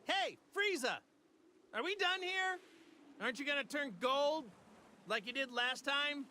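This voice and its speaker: A man's voice: very high-pitched, a little slow, pushed and bright, slight background noise, heavily acted. An actor playing a cheerful, naive martial arts hero in an anime fighting game, a man with a boyish, easygoing, earnest manner. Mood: curious, puzzled. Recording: music behind the voice.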